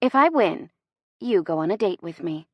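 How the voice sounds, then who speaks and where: A woman's voice: mid-range voice, lively intonation, moderate pace, no room sound, highs cut off, close to an everyday voice. A woman voicing a sassy, easily flustered young heroine with bantering, defiant delivery in a romance audiobook. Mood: playful.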